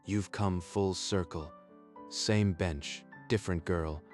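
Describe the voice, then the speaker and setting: Man playing a low-voiced, gentle, proud dad. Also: steady tone, slow pace. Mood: reflective. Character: proud, gentle, protective father of a young girl. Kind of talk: manga dub character acting. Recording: music behind the voice.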